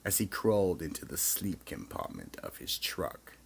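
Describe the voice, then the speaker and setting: A man narrating scripted horror as storyteller and announcer, slow, theatrical and ominous. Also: low voice, huge pitch swings, creaky, a little hiss or hum.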